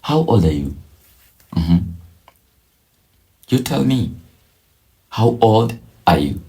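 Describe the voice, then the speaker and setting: A man teaching a beginner language lesson, drilling each phrase slowly and repeating it several times. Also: on the low side, big pitch swings, creaky, warm tone. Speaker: English teacher.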